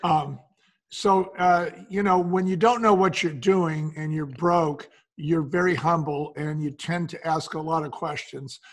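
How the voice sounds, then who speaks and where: A man's voice: on the high side, steady tone, unhurried. A man sharing anecdotes in a conversational way as an interview guest. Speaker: podcast guest, entrepreneur.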